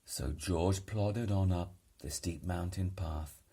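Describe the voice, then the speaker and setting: A man reading a children's story aloud in an expressive, dramatic and deliberate way. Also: low-pitched, vocal fry, breathy edge. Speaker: storybook reader.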